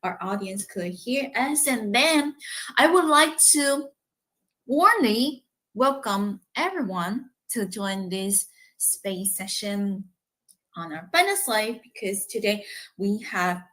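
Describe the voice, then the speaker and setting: A woman hosting a live online session, greeting listeners and introducing her guest. She talks in an upbeat, chatty way. Her voice sits at an ordinary middle pitch for a woman. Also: lively intonation, slow, sharp tone. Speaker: livestream host.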